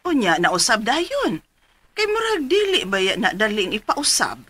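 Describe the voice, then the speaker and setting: Bright, fretting Cebuano mother. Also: on the high side, huge pitch swings, a little slow, breathy edge, dry recording, exaggerated voice. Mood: doubtful. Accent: Filipino, Cebuano. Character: doubtful, fretting mother of the bride. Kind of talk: radio drama acting.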